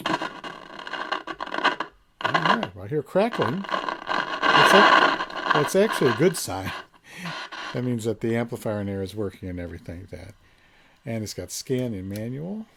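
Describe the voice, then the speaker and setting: A man's voice: medium pitch, animated, unhurried, pauses often, pushed and bright. A man giving a casual hands-on demonstration, musing and thinking aloud as he goes. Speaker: reseller reviewing a vintage find. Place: indoors, in a basement. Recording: faint background noise.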